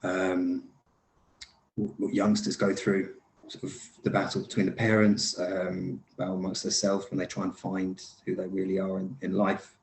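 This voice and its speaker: A man's voice: low-pitched, monotone, moderate pace, vocal fry, noisy background. A man being interviewed about his acting work, talking casually with many fillers, restarts and easy laughs. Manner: laughs easily. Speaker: lead actor guest. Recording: echoey room.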